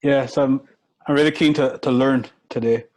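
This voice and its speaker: A man's voice: medium pitch, steady tone, normal speed, a little mellow. A man co-hosting a video chat, speaking in a thoughtful, earnest way. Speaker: co-host.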